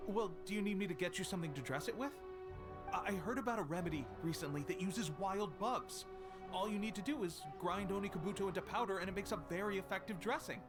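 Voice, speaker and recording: A man's voice: high-pitched, fast talker, music in the background, lightly acted. A man playing an eager, friendly young soldier in an anime fantasy game, earnest and chatty. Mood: eager, helpful.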